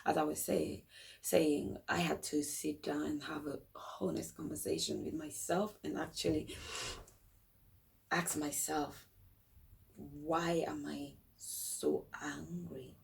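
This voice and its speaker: Slow, croaky-voiced woman talking softly. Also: low-pitched, far from the mic, a little hiss or hum, rough recording. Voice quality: croaky, gravelly voice. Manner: slow, thoughtful, often drops to a soft whisper. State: hoarse after long studio hours. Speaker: vlogger sharing a personal story. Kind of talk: reflective personal talk to camera.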